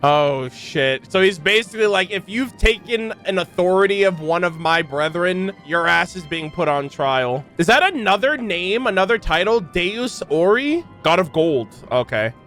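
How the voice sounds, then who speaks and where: A man with a high voice giving casual reaction commentary as a game streamer. He is expressive and slangy, quick to joke, with faint music in the background.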